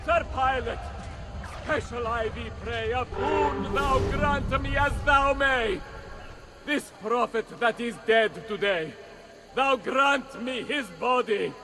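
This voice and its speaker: An actor playing a theatrical, fearful stage player who declaims in an archaic passion-play manner in a video game. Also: male voice, very high voice, slow, noisy background, exaggerated voice. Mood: anguished declaiming. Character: theatrical male stage actor playing Christ, frightened and dying. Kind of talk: dramatic game acting.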